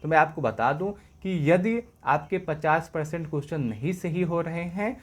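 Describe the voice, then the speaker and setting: A man's voice: fairly high pitch, normal speed. A man presenting exam updates as an informal explainer, going over points repeatedly in a reassuring way.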